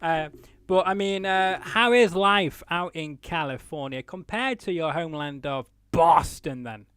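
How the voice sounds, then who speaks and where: A man hosts a loose, unscripted interview show in a fairly high, smooth voice. He rambles amiably from point to point and likes a joke. The sound is dry and close. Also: a little slow, a bit bright, dry recording. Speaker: show host. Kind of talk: live unscripted interview chat.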